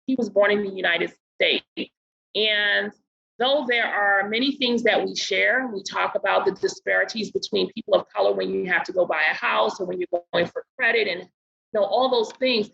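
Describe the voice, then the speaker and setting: Middle-aged woman from Barbados; podcast guest; bright, raspy tone with some fry; earnest and deliberate. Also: mid-range voice, dull audio. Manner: earnest, measured, slows down to stress points. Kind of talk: casual interview talk.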